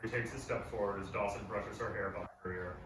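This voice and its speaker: A man reading stage directions in a deadpan scripted narration at a live table read. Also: fairly low pitch, fast talker. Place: live stage room before an audience. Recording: noisy echoey room, distant mic.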